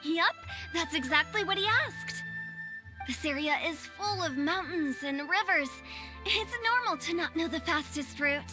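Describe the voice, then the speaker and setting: A woman's voice: very high voice, expressive, a little slow. A woman voicing a playful, self-assured young woman with a breezy, teasing manner in a video game. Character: playful, self-assured young female funeral parlor director. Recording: music behind the voice.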